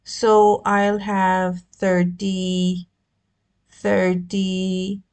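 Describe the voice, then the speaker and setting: A woman voicing a spoiled, sulky young boy in a storybook, speaking in a slow, petulant manner. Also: mid-range voice, flat delivery, a little mellow. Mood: slow, puzzled.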